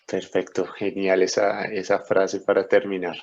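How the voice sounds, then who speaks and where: A man who conducts the interview, speaking in a calm, low-key way as he wraps it up. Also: unhurried, highs cut off. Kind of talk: closing an interview.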